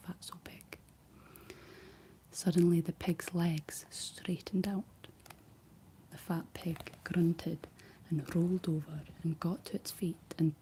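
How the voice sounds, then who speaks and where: A woman whispering a folk tale aloud in a soft ASMR style, stopping for playful asides. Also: on the low side, a little hiss or hum, rough recording. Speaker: storyteller. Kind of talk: whispered ASMR story reading.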